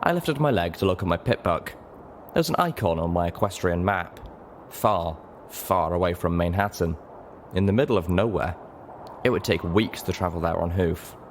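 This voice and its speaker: A man reading a story aloud in expressive scripted narration. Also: low voice, moderate pace, slight background noise. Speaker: audiobook narrator.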